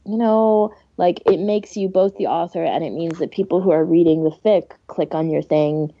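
A woman's voice: lowish voice, moderate pace, dry recording. A woman co-hosting a podcast in a casual, informal chat, giving her opinions freely on a dull recording. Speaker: podcast host.

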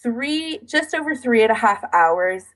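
A woman's voice: fairly high pitch, expressive, a little slow, a bit bright. A woman co-hosting a podcast in casual chat, recounting things in detail with a self-deprecating streak. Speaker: podcast co-host.

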